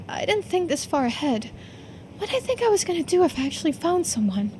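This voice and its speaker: A woman's voice: extremely high pitch, big pitch swings, fairly quick, darkish tone, slight background noise. A woman voicing an anxious, self-doubting young woman in a visual novel game, talking to herself emotionally. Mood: uneasy, pondering. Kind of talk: dramatic voiced reading of visual novel game dialogue.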